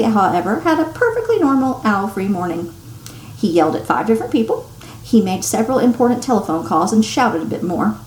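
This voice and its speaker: A woman reads a story aloud in a roomy, echoing space with light noise in the recording. Her pitch swings widely as she stresses key words and pauses dramatically. She drops into hushed tones to build suspense, in the style of a teacher reading to children. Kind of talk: expressive read-aloud storytelling. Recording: roomy echo, some background noise.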